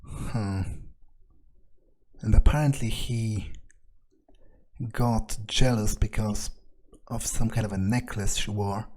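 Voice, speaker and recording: A man's voice: on the low side, steady tone, slow, pauses often, darkish tone. A man thinking aloud in improvised in-character video game commentary, musing and sardonic in an understated, casually profane way. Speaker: gamer narrating an in-character playthrough.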